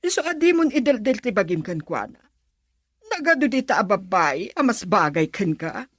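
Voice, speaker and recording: Husky, expressive Filipino mother. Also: mid-range voice, normal speed, no echo, clean studio sound. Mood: worried, pleading. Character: anxious, coaxing middle-aged mother of a grown son. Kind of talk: radio drama acting.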